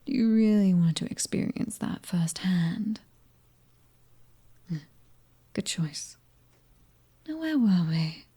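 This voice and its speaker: A British woman voicing a cocky, fiery mercenary in an ASMR roleplay, teasing and breathy, often dropping close to a whisper. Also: mid-range voice, mellow, exaggerated voice. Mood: menacing, taunting. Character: fiery, cocky young female mercenary, prickly and taunting. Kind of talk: ASMR audio roleplay acting.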